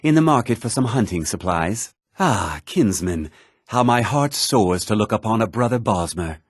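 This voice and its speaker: A self-important townsman from a fantasy video game. His husky voice swings widely in pitch and is recorded close and dry. He talks with theatrical, smug condescension. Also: animated, normal speed, no echo. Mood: courteous, theatrical. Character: haughty, self-important male townsman and merchant of a fantasy world. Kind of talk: video game character dialogue.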